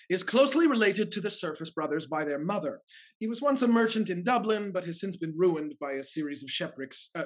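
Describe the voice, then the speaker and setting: A man acting a loyal, earnest family steward in a period stage comedy, measured and articulate. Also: a little high, natural voice. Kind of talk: theatrical period comedy acting over video call. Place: indoors, on a video call.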